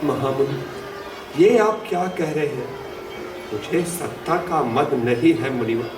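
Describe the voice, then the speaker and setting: A noble, anguished king, distant and echoing. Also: fairly high pitch. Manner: formal, reverent, solemn declamation. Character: noble, anguished king and doting father, deferential to sages. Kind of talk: theatrical Hindi mythological audio drama. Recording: roomy distant playback with music behind.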